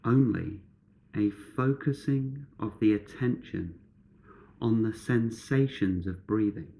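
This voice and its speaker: A man guiding a meditation in measured, instructive short phrases with pauses between them. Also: on the low side, creaky, dark tone. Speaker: meditation guide.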